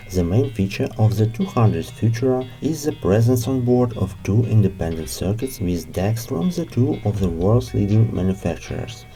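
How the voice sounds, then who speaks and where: A man hosts a scripted gear review in a notably dark, soft-edged voice. His pitch sits a little low but moves in animated swings. The wording is formal and careful, with faint music behind him. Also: rough recording. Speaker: host of an audio gear review show.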